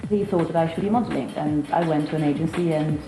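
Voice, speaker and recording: A twenty-six-year-old woman from Italy, a model and student talking in a measured, matter-of-fact way. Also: deep voice, echoey room, over loud music, low-quality recording. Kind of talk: interview.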